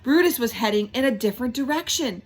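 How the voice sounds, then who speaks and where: A woman reading a children's book aloud in an animated storytelling style, pausing like a teacher to prompt listeners with questions and stressing key words. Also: on the high side. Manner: instructive, prompting, emphatic repetition of key words.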